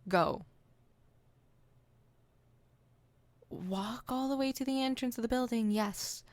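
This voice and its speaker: A woman's voice: a little high, normal speed, darkish tone, no echo, close to an everyday voice. A woman playing a theatrical, poetic supervillain in an ASMR roleplay, haughty and dramatic, stammering when flustered. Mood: cold, dismissive.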